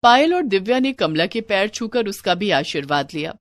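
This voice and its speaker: A woman's voice: mid-range voice, very animated, normal speed, dry recording. A woman narrating a scripted moral story as a storyteller.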